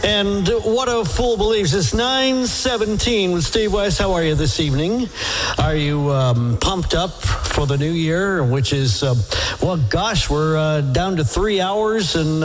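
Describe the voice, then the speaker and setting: A man's voice: on the high side, poor audio. A man hosting a live radio oldies show with jokey, ad-libbed patter from the studio.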